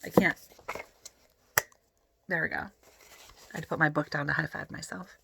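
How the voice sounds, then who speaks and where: A woman reading a children's book aloud in an expressive, animated way, with chatty asides to the listeners. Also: sits low, unhurried, vocal fry, background noise. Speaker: read-aloud narrator.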